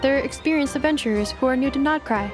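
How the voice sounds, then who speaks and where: Actress as a courteous game robot: high, precise, formal, composed. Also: female voice, fast talker, over music, close to an everyday voice. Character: polite, methodical female robot assistant. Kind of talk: video game character dialogue.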